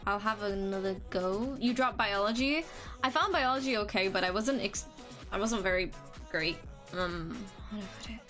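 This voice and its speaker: A woman's voice: medium pitch, a bit bright. A young woman, chatting casually on a live stream, rambling, restarting sentences and laughing now and then. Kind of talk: casual chat reading comments.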